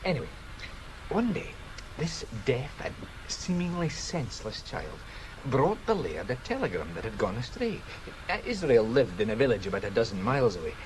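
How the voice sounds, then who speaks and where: An actor playing a garrulous Scottish police sergeant who relishes old local tales, in radio drama style. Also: male voice, mid-range voice, sing-song, normal speed, noisy background, natural voice. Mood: wry, confiding. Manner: garrulous storyteller.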